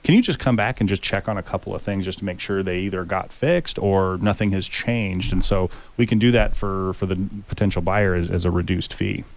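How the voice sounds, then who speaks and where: A man with a deep voice, a home inspector talking as a guest on a radio talk show. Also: steady tone, brisk, few pauses.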